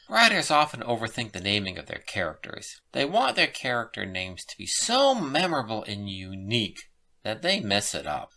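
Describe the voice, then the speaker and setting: Female short story podcast host, scripted read, notably low register, highly varied intonation. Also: bright, forceful tone. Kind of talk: scripted narration and writing tips.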